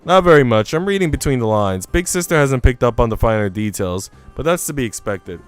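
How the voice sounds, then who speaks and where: A man's voice: medium pitch, animated, a little fast, clear, resonant tone, faint music behind, lightly acted. A man voicing a haughty young woman from a visual novel, self-assured and condescending. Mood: probing, candid. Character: haughty, dismissive young woman, quick to judge.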